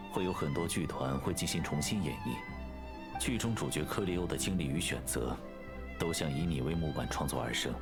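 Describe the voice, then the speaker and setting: A man playing a composed, formal male judge of high standing in a video game, speaking with measured politeness. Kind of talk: Chinese video game voice acting.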